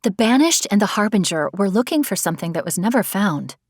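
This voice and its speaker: A man voicing an impish, sunny young female AI companion in an audiobook, with a light, breathy, expressive delivery. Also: sits high, brisk, no echo, studio quality, voice pushed up, exaggerated voice. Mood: curious. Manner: cheerful, expressive. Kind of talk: audiobook character voice.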